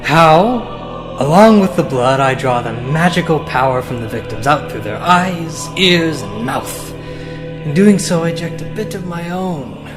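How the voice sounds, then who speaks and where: A man's voice: on the high side, expressive, unhurried. A man playing a sly, fiendish villain in a fantasy audio drama, mock-polite and theatrical. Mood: gloating. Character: sly, gloating vampire-like villain posing as a priest. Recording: echoey cavern reverb with music behind.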